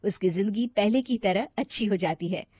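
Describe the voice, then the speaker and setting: A woman's voice: medium pitch, normal speed, darkish tone, slightly rough, no room sound. Woman narrating a story, dry studio sound.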